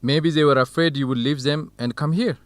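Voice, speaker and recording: A man's voice: on the high side, brisk, no echo, close to an everyday voice. A man acting a friendly, inquisitive young man in a radio drama, with an encouraging way of talking. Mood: curious.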